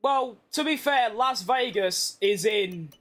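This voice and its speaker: Streamer, British man, worn out after a long day, rambling and self-mocking, pitched very high. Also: slow pace, a bit bright. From Britain. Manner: chatty, rambling, self-deprecating. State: tired from being awake all day. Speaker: gaming streamer. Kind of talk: casual live-stream gameplay chat.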